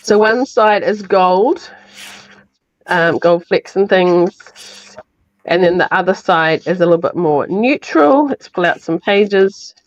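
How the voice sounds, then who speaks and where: A woman with a New Zealand accent, sounding croaky from illness, chatting through a live crafting tutorial step by step. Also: fairly low pitch, lively intonation, slow pace, muffled recording. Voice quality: slightly hoarse. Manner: chatty, explains step by step with fillers. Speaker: craft demonstrator.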